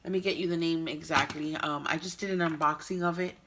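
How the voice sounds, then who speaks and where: A woman's voice: sits low, fairly even intonation, brisk, background noise. A woman hosting a card-making tutorial, chatty and explaining each step, sounding nasal from a cold. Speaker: craft channel host.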